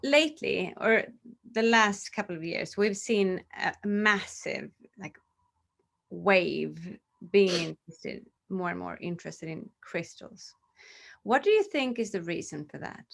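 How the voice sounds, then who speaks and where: A woman's voice: medium pitch, lively intonation, measured pace, gravelly, fairly bright tone. Woman interviewer, curious and encouraging. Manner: curious, encouraging, laughs along. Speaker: interviewer from the publisher. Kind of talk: casual interview chat.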